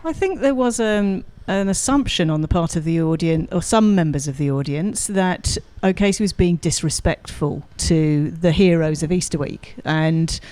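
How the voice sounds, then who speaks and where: A woman's voice: fairly low pitch, moderate pace, hardly any pauses, dark tone, no echo. A woman giving articulate, explanatory answers as an expert guest in a radio interview, thinking aloud as she goes. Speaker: guest academic expert, drama lecturer. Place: radio studio.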